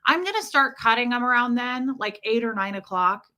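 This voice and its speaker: Female streamer, bright and forward tone, chatty and warm, lots of filler words. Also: on the high side, lively intonation, normal speed. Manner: chatty and warm toward viewers, many fillers. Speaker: livestream host. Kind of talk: casual livestream chat, answering viewer comments.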